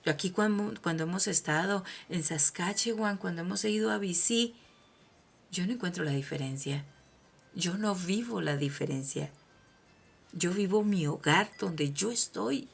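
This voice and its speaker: A woman from Colombia with a Colombian accent, giving a personal motivational monologue as host, emphatic and preaching, swinging from soft asides to loud declarations. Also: on the low side, unhurried, gravelly, husky.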